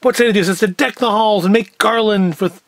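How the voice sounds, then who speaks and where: A man's voice: high voice, fairly quick, dry recording. A middle-aged man giving a casual, unscripted product review as host, with wry, sarcastic asides. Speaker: host of a food review show.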